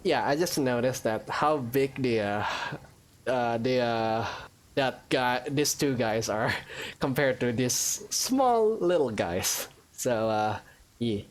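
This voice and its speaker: A man's voice: medium pitch, animated, slow pace. A man giving casual, hesitant let's play commentary as he games. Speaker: gaming streamer.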